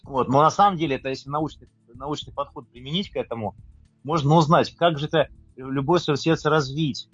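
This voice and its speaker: A man's voice: medium pitch, dry recording, dull audio. A man presenting his own writing in an informal talk and reading aloud.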